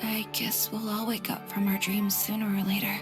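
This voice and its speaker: A woman's voice: medium pitch, flat delivery, moderate pace, natural voice. A woman voicing a reflective townsperson in a video game. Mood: pensive. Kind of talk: video game character acting. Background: music.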